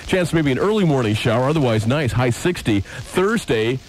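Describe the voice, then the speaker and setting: A man working as a radio DJ, giving brisk weather patter on a 1980s FM tape. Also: medium pitch, lively intonation, music in the background, rough recording.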